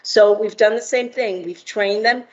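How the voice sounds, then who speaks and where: A middle-aged woman from Argentina talks as a guest entrepreneur in a mid-pitched, friendly voice. She pauses often with ums and uhs. The audio is dull and lo-fi, as over a video call. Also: steady tone. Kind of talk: prepared remarks with fillers.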